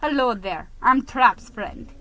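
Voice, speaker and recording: A woman voicing a blunt, smirking male mouse salesman in a storybook, smug and a little halting. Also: on the high side, noisy background. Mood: introducing himself.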